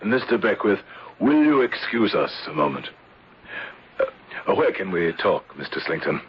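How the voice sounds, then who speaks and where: A protective, serious gentleman sleuth in a radio mystery. His voice is low and very rough-edged, his phrasing measured and formal. It comes through a muffled old broadcast. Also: very animated, full character voice. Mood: polite, formal. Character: grave, protective gentleman insurance investigator. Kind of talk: old-time radio drama acting.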